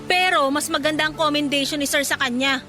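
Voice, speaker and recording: A frustrated working woman in a radio drama, her voice high and bright. She complains emphatically with wounded pride, over faint music. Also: a little fast. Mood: dismayed. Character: frustrated, principled female office worker, wounded pride. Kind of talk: Filipino radio drama dialogue.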